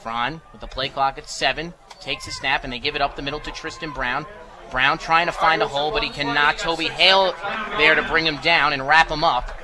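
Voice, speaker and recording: A man's voice: on the high side, fairly even intonation, brisk. An American man calling live football play-by-play for radio in clipped, descriptive phrases. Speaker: play-by-play sports announcer. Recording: live broadcast with faint background noise.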